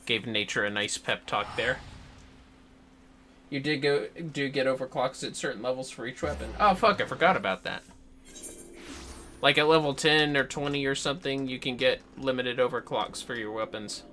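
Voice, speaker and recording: A man's voice: mid-range voice, moderate pace, pushed and bright, a little hiss or hum. A man giving casual gaming commentary as a livestream host, muttering rambling asides to himself. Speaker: livestream host playing a game. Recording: music behind the voice.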